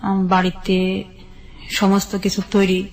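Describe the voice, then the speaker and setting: A woman with a Bangladeshi accent tells her personal story in an interview, measured and step by step in short phrases. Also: mid-range voice, monotone, darkish tone. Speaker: guest telling her life story.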